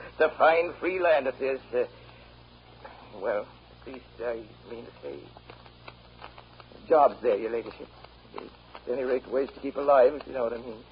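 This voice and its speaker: Man doing anxious humble irish rent agent, rattles along fast, muffled old radio drama. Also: medium pitch, close to an everyday voice. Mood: nervously enthusiastic. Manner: obsequious, hesitant, wordy. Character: deferential, rambling Irish rent agent, anxious before his betters. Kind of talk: 1930s radio drama acting. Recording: muffled, hissy 1930s radio broadcast.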